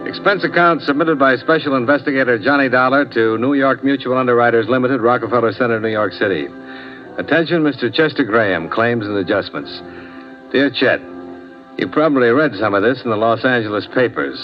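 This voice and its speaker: An actor playing a wry, self-assured insurance investigator in a 1950s radio drama, with a dry, clipped, hard-boiled delivery. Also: male voice, medium pitch, fairly quick, lightly acted. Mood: wry, somber. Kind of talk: 1950s radio drama acting, first-person noir narration. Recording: dull vintage radio recording, faint music.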